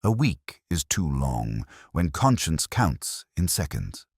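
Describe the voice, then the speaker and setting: A man acts out a dry, philosophical police inspector from a detective story. The voice is very deep and husky, with a lively rise and fall. He speaks in measured, gently ironic sayings. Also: no echo, full character voice.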